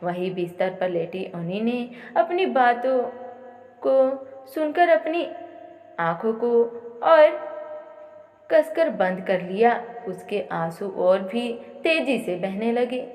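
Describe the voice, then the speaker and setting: A woman's voice: on the high side, expressive, slow, a little mellow. A woman narrating a dramatic audio story as a storyteller, in an echoey, reverberant recording.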